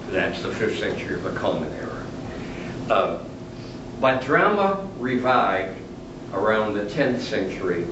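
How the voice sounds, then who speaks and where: Older man, low voice, chatty humorous lecture, distant roomy noisy recording. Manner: chatty and humorous, chuckling at own jokes. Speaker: retired literature professor lecturing. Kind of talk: lecture with asides. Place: large lecture room, standing at a lectern. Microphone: camera at the back of the room. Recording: roomy, distant, dull, noisy camera audio.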